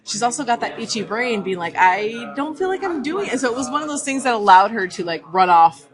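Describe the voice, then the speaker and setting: A woman's voice: on the high side, very animated, fairly quick. A woman giving casual, explanatory interview answers as a guest, recorded live at a convention. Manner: informal, explanatory. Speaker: comic writer, interview guest. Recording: busy convention crowd behind. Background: crowd chatter.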